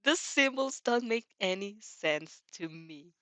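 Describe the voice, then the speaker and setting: A woman's voice: medium pitch, expressive, takes it slowly, bright, forceful tone, no echo. A woman voicing a curious, proud young vampire girl of royal birth, frank and upbeat, in an audio fan fiction. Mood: confused.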